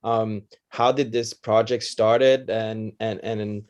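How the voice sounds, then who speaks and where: A man's voice: on the low side, level tone, slow pace, a little mellow, muffled recording. A man hosting a podcast interview, asking questions with many restarts and fillers. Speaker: podcast host.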